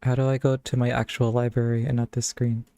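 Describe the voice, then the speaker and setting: A man's voice: medium pitch, monotone, fairly quick, warm tone, no echo. A man streaming live, chatting casually and thinking aloud in a rambling way into a close mic. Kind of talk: casual live-stream chat while browsing games.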